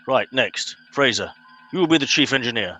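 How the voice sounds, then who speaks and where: Actor as a pompous English starship captain, bright voice. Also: male voice, medium pitch, expressive, normal speed, soft background music. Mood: officious. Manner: officious, impatient. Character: pompous middle-aged British Home Guard captain commanding a starship. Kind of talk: comedy radio play acting.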